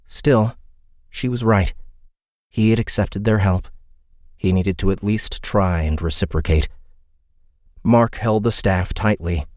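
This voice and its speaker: Low-voiced male audiobook narrator, dry sound. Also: a little mellow, dry recording. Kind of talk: dramatic scripted fantasy narration.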